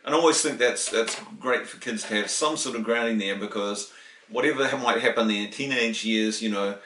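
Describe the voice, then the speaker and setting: A New Zealand man in his late fifties talks through his past in a loose, chatty way, often restarting his sentences. His voice sits fairly low. He is recorded in an echoey room a little away from the mic. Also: fairly quick, sharp tone, far from the mic. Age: 50s. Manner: rambling, with restarts and chuckles. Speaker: interviewee. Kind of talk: casual interview answers. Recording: roomy, voice from the mic.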